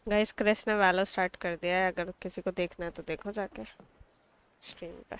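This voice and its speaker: Fast-talking young Indian woman streamer. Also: on the low side, steady tone, fairly bright tone, clean tone. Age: 20s. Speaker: gaming streamer. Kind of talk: casual livestream chat. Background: faint game music.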